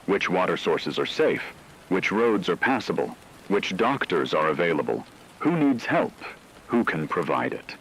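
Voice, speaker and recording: A man acting as a grave emergency broadcaster with a low voice, reading disaster news in a formal, measured way. There is faint noise behind him, in the style of a radio drama. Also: very animated, a bit bright, full character voice, rough recording. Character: grave male newsreader of an emergency radio broadcast during a global catastrophe. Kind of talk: scripted dramatic news narration.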